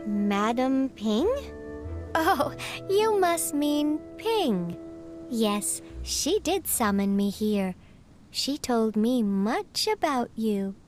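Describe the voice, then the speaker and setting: High, prim bird-like spirit butler. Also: female voice, very animated, a little mellow, exaggerated voice. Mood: courteous, instructive. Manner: formal, prim, deliberate. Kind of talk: video game character dialogue. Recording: music under the voice.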